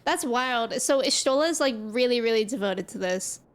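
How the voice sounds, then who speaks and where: Excitable young woman streamer. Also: a little high, normal speed. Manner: chatty, excitable, says "like" a lot. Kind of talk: casual gameplay commentary. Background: game music.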